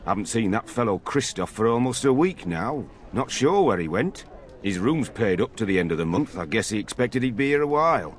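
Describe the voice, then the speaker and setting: A man's voice: lowish voice, very animated, brisk. A man playing a brusque, businesslike innkeeper in a video game, speaking in a curt, matter-of-fact way.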